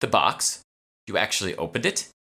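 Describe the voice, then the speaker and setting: A man voicing a quick-thinking young woman in an audiobook, speaking in short, stammering bursts. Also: on the low side, sing-song, bright, forceful tone, voice pushed up, exaggerated voice. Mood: anxious. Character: young woman narrator-heroine, quick-thinking, urgent schemer. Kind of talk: audiobook character dialogue.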